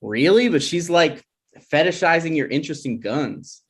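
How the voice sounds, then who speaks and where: A man's voice: a little high, animated, moderate pace, natural voice. A man playing an insecure, jealous boyfriend and grad student in a comedy table read, speaking in a hesitant, stammering way. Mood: deflated. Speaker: actor in a screenplay table read.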